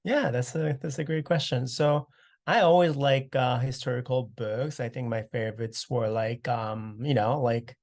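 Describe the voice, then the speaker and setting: A man in his thirties from South Korea, answering oral history questions over a video call, relaxed and full of "uh" and "you know". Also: medium pitch, moderate pace. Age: 30s. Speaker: interviewee.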